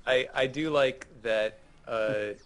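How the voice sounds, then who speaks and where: A man's voice: mid-range voice, takes it slowly, fairly bright tone, a little hiss or hum. A man co-commentating in a casual gaming chat, with a dry, teasing manner.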